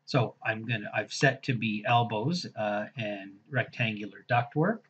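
Husky-voiced man, casual software tutor talking through clicks, dull lo-fi screen-capture recording. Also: fairly low pitch, unhurried. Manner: casual, thinking aloud while clicking through steps. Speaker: software instructor.

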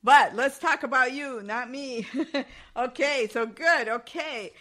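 A woman's voice: high voice, a bit bright. Encouraging woman podcast host. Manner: encouraging, quick to affirm. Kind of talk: casual interview.

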